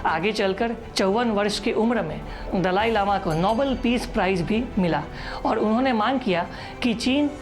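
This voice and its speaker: Woman narrating a news explainer over music. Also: mid-range voice. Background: background music.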